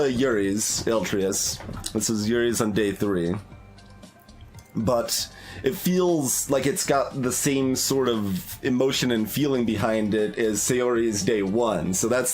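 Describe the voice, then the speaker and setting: A man's voice: medium pitch, darkish tone. A man doing casual game commentary as a streamer, thinking aloud in a hesitant, analytical way. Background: game music.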